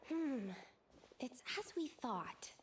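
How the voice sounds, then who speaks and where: Young game researcher, female, higher voice, studious, earnest, careful, faint background noise. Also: lively intonation, very slow, full character voice. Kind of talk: scripted video game voice acting.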